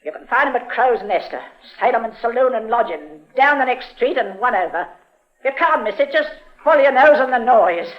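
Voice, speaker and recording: An actress playing a prickly, wheedling old English flower seller in a radio drama, with folksy street-seller patter. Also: female voice, on the high side, animated, a little fast, close to an everyday voice. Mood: wary. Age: older. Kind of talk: 1950s radio drama acting. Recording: muffled vintage radio recording.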